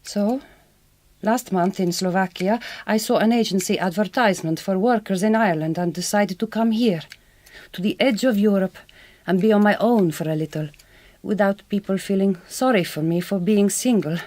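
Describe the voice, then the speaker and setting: An actress playing a direct, kind but quietly wounded Hungarian migrant farm worker in a radio drama, with a Hungarian accent and careful, reassuring speech. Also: female voice, medium pitch, normal speed, dry recording, close to an everyday voice. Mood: sad, confiding.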